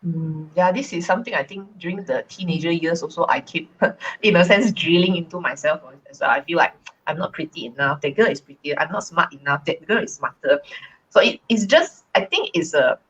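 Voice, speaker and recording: A woman from Malaysia co-hosting a casual live stream, talking in a relaxed, reflective way with many fillers. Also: low voice, brisk, breathy edge, highs cut off. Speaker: co-host.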